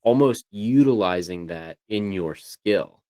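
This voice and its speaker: A man's voice: on the low side, lively intonation, slow pace, a little mellow, no room sound. A man chatting casually as a livestream co-host, talking in a rambling way with lots of "you know"s. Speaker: co-host of a voice tech show.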